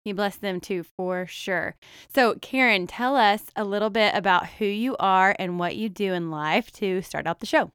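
A woman's voice: medium pitch, moderate pace, fairly bright tone, clear, resonant tone, no room sound. Eager woman podcast host, dry sound. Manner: enthusiastic, affectionate, asks questions. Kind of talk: casual interview chat.

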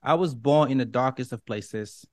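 A man's voice: medium pitch, moderate pace, no echo. A man from Liberia speaking as an interview guest in an earnest, self-assured, emphatic motivational way. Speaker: interview guest, professional dancer and author.

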